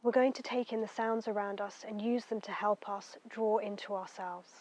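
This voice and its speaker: A woman guiding a meditation in a gentle, unhurried, soothing way, letting her phrases end softly. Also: mid-range voice, steady tone. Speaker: meditation guide. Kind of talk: guided meditation narration.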